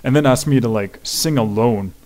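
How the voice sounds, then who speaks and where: A young man with a deep voice answering viewer questions in a casual, rambling chat. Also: normal speed, a little mellow. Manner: chatty and rambling, fillers and restarts.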